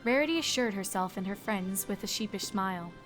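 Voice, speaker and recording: A female narrator reads a scripted story in a medium-pitched voice. Music plays underneath her narration. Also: expressive, a little fast, fairly bright tone.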